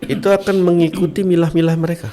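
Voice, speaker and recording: A man's voice: fairly high pitch, little pitch movement, fast, mellow. Man preaching conversationally over background noise. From Bali, Indonesia. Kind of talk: conversational religious podcast talk.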